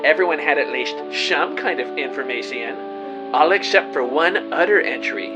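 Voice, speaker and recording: An actor playing an earnest, excitable, bumbling amateur detective, narrating his case melodramatically in an audio drama. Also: fairly high pitch, normal speed, loud music behind the voice, close to an everyday voice. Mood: intrigued, determined. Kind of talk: scripted first-person audio drama narration.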